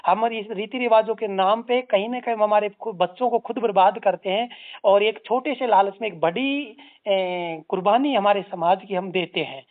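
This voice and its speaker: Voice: mid-range voice, moderate pace, few pauses. An activist giving a formal, stern, articulate admonishment over the phone. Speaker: anti-drug social organisation activist. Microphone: phone line. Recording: tinny narrowband phone-call recording.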